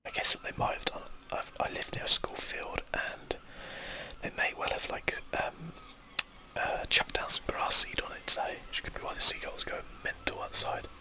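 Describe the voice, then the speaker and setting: Southern English male, bright breathy whisper, relaxed chatty ASMR game host thinking aloud. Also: normal speed, low-quality recording. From southern England, UK. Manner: casual, chatty, musing aloud. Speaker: host of an ASMR gaming channel.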